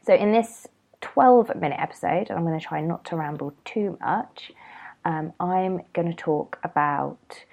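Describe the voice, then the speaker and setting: British woman, mellow tone, frequent vocal fry, congested with a cold, casual self-deprecating podcast host. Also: on the low side, unhurried, muffled recording. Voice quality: nasal. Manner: rambling, informal, self-deprecating. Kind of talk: casual solo podcast chat.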